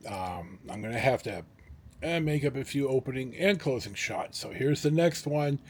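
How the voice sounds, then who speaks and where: Middle-aged man with animated, swinging pitch. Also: slight background noise. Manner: rambling, halting, with dramatic pauses and emphasis. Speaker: host of a personal storytelling vlog. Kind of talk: casual, unscripted anecdotal storytelling to viewers.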